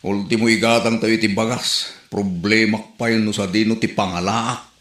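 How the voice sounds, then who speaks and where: A man's voice: lowish voice, steady tone, moderate pace, a bit bright, reverberant, natural voice. A man playing a blunt, hard-up village husband who grumbles in a comedy radio drama. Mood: grumbling.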